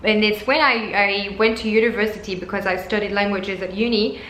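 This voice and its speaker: A woman's voice: medium pitch, steady tone, brisk, fairly bright tone. A woman in her twenties from France, a flight attendant chatting casually to camera in English, French being her first language, with lots of fillers and restarts. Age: 20s. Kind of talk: casual talking-to-camera vlog. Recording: echoey room with faint background noise.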